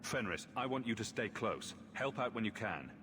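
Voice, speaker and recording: An actor playing a confident, wry champion who leads companions in a fantasy video game. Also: male voice, fairly low pitch, lively intonation, moderate pace, big-room sound, noisy background, lightly acted. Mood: commanding. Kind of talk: video game cutscene acting.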